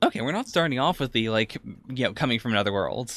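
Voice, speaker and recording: A man's voice: mid-range voice, animated, fast talker, fairly bright tone, no echo. Man co-hosting a casual podcast, dry close sound. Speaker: podcast co-host. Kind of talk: casual chat and reactions while watching anime.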